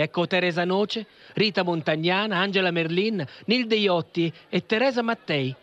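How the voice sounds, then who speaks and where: A man's voice: high voice, a bit bright. A man playing a formal, declamatory 1940s newsreel announcer, on a dull old-style recording. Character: 1940s male newsreel announcer reporting a parliament's opening. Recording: dull, band-limited old newsreel sound.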